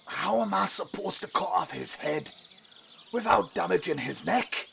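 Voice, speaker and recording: A man playing a proud, vengeful dwarf smith with a creaky, gravelly voice in a fantasy audio drama. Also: high-pitched, animated, unhurried, exaggerated voice. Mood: menacing. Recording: amateur recording with background noise.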